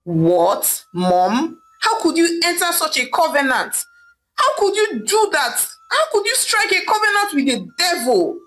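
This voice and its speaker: Woman acting a distraught, high-pitched young daughter. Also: sing-song, measured pace, a bit bright, full character voice. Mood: angry. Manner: pleading, exclamatory, repeating herself.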